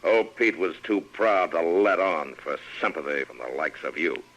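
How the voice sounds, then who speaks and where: An actor playing a stern, tough frontier marshal in an old-time radio western, terse and authoritative. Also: male voice, low voice, normal speed, a bit bright, dull audio.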